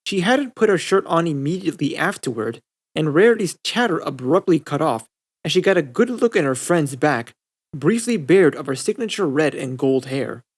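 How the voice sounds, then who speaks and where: A man's voice: fairly high pitch, very animated, moderate pace. A man narrating a scripted story as an audiobook reader. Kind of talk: scripted fan-fiction narration.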